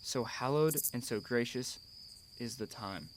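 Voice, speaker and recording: Guy acting earnest gentle husband and dad-to-be, radio play, tender and thoughtful, bit of noise behind him. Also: medium pitch, measured pace, natural voice. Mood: solemn, wistful. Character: gentle, earnest English husband and expectant father.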